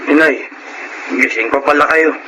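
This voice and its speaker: A man's voice: a little high, moderate pace, background noise, close to an everyday voice. A man with a Filipino accent playing a bitter, unforgiving young son who accuses his parents intensely in a Tagalog radio drama. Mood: bitter defiance.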